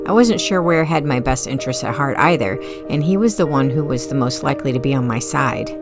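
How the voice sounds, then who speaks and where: A woman's voice: rumbling low, expressive, fairly quick, music in the background. A woman reading first-person fiction as an audiobook narrator, in a wry, conversational way.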